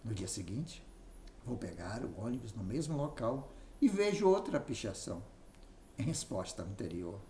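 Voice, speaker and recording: A man with a Brazilian accent narrating a written memoir aloud in an expressive reading style. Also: lowish voice, normal speed. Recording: roomy echo, faint background noise.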